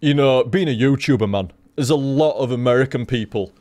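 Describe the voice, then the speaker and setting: A young man with a softened Yorkshire accent, rambling casually to his stream chat, swearing freely and laughing often. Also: medium pitch, brisk, no echo. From Leeds, Yorkshire, England.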